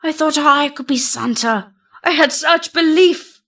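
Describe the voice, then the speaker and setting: A woman voicing an excitable, earnest male skeleton who plays Santa, declaiming dramatically in a storybook poem. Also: high-pitched, unhurried, pitched above the performer's own voice. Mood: grieving.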